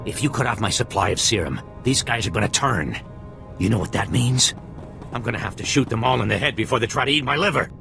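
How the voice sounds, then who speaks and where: An actor playing a cagey, sarcastic pirate doctor full of bluster in a video game, with music behind. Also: male voice, mid-range voice, expressive, quick pace, gravelly. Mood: defensive, sarcastic. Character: sardonic pirate doctor, cagey, bluffing, threatening lowlife.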